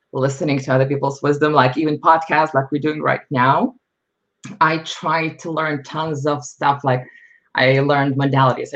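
A middle-aged woman from Lithuania, a podcast guest speaking candidly and reflectively about her life, with frequent fillers. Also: low voice. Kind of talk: personal storytelling. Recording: slightly dull lo-fi audio.

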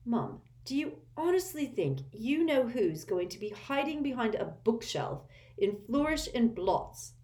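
A woman voicing an excitable, joking teenage boy. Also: on the low side, a little slow. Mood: sniggering. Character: eager cheeky teenage boy.

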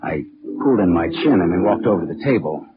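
An actor playing a tough, wry American private detective, deadpan and wisecracking, in hardboiled 1940s radio drama style. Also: male voice, deep voice, fast talker, very noisy. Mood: wry, brooding. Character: wry, tough male private detective narrating his case. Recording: muffled old radio broadcast with music.

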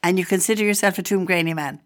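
A woman hosting a casual interview, chatty and quick to laugh. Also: lowish voice. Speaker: radio host.

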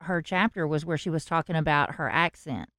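A woman's voice: sits low, brisk, fairly bright tone, dry recording, clean studio sound. A woman with a Southern US accent co-hosting a podcast, earnest in casual chat. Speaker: podcast co-host.

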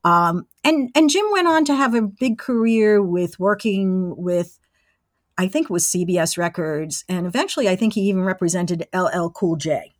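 A woman in her sixties telling casual anecdotes as an interview guest, with an animated storytelling manner. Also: lowish voice, normal speed, dry recording, clean studio sound. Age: 60s. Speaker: interview guest, former college radio engineer.

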